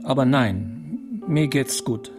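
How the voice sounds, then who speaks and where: A man's voice: mid-range voice, huge pitch swings, takes it slowly, music in the background. An actor playing a reserved, reassuring man on a phone call in a naturalistic radio drama, hesitant in manner.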